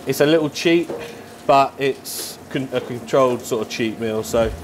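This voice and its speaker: A man's voice: medium pitch, moderate pace. A man, a chef explaining his work casually and matter-of-factly to camera. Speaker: head chef at a football club. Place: indoors, club kitchen and dressing room.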